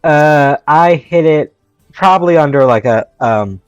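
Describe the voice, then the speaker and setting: A man's voice: a little high, expressive, unhurried, clear, resonant tone, dry recording, highs cut off, faint music behind. A man playing a tabletop roleplaying game, hesitantly improvising details about his character. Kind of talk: casual improvising.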